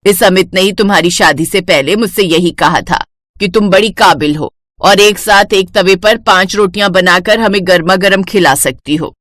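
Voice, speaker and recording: A woman voicing a bossy, sharp-tongued middle-aged mother-in-law who scolds and demands, in a cartoon story. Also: mid-range voice, moderate pace, no echo, studio quality, close to an everyday voice.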